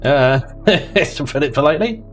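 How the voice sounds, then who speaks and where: A man's voice: a little high, lively intonation, unhurried, faint music behind. A man hosting a livestream, chatting casually and jokily while playing a game. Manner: chatty, jokey, drifts into mock voices and laughter. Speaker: livestream host. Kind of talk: casual chat while gaming.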